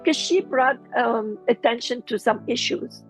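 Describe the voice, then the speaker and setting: A middle-aged woman with a Lebanese accent, telling her personal story as an interview guest, halting as she searches for words. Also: fairly high pitch, soft background music, low-quality recording.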